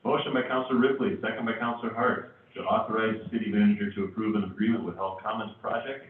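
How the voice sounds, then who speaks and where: A man chairing a city council meeting as mayor, reading motions and calling votes in a procedural way. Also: low-pitched. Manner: procedural, brisk. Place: council chamber. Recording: tinny, echoey chamber from far off.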